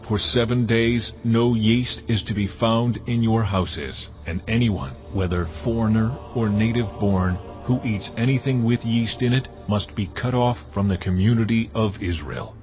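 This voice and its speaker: A man playing God in a dramatized audio Bible, solemn and authoritative as he delivers measured commands. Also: on the low side, darkish tone, lightly acted. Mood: solemn, commanding. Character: God, solemn commanding male deity giving laws. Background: music.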